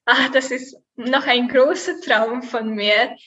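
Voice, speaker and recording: A young woman in her twenties with a Hungarian accent, answering interview questions haltingly with many fillers. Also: fairly high pitch, fairly even intonation, muffled recording. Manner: hesitant, many fillers, laughs softly. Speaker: guest, student.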